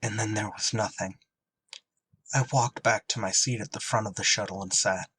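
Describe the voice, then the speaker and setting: An actor playing a somber, haunted shuttle pilot in an audio drama, grave and restrained. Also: male voice, on the low side, steady tone, moderate pace, a bit bright, husky. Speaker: lead actor and narrator.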